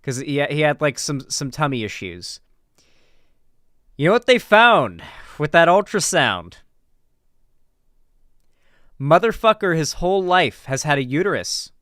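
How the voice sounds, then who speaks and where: A man with a clear, smooth voice in a dry, close recording. He talks off the cuff like a streamer reacting to something, informal and emphatic, restarting phrases and dropping swear words. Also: fairly high pitch, sing-song, a little slow, pauses often, fairly bright tone, dry recording. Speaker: political commentary streamer. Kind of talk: casual reaction commentary, unscripted.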